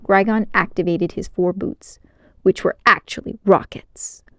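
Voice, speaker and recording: A woman narrating a children's novel aloud in an expressive storytime style. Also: mid-range voice, unhurried, dry recording.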